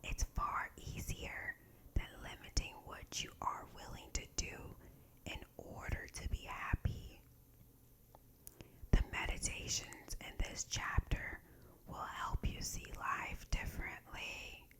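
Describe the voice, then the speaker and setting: A woman whispers her way through a guided meditation reading in ASMR style. Her voice is breathy and fully unvoiced. She phrases softly and deliberately, at a very unhurried pace. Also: poor audio. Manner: soft, slow, deliberate phrasing. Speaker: ASMR host reading aloud from a meditation book.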